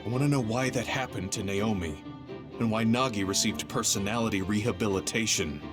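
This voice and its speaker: An actor playing a weary but resolute ageing male veteran soldier in a video game, gruff and plain-spoken. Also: fairly low pitch, brisk, close to an everyday voice. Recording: music behind the voice.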